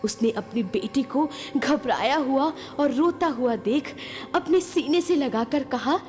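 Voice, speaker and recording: Slow, high-voiced woman narrating horror. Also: lively intonation, a little mellow. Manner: suspenseful, phrases broken by pauses. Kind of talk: dramatic horror story narration. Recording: long reverb, clear music behind.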